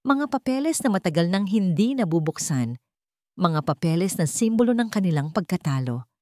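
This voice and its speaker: A woman narrating a scripted drama story in a measured, dramatic storytelling manner. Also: fairly low pitch, big pitch swings, dark tone, no room sound, studio quality.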